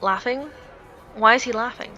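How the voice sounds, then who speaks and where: Naive angel heroine voiced by a woman, earnest and easily flustered, bright raised voice, visual novel game. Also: fairly high pitch, normal speed, lo-fi sound, soft background music, pitched above the performer's own voice. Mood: worried. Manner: indignant, flustered, questioning.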